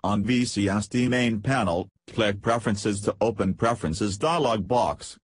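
A man narrating a scripted software tutorial in a flat, read-aloud instructional way. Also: fairly low pitch, normal speed, slightly rough, no echo.

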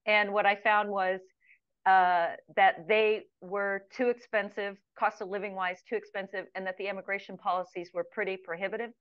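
A woman in her sixties tells her story on a video call in a loose, chatty way, full of ums and restarts. Her voice sits at a middle pitch. The audio is muffled and dry, with no room echo. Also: little pitch movement, moderate pace, smooth. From United States. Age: 60s. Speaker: guest, retired expatriate. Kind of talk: casual interview chat. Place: home room with plants, indoors. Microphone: video call webcam.

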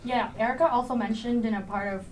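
A woman's voice: on the high side, steady tone, quick pace, low-quality recording. A teenage young woman, a student actor, gives casual answers in a panel interview. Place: indoor panel, seated group. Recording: clear background room noise.

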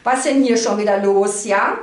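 A woman's voice: mid-range voice, normal speed. A middle-aged woman giving an informal card reading to viewers, conversational and reassuring, often checking in with little tag questions. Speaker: card reader, host. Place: indoor room, at a card table. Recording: echoey room sound.

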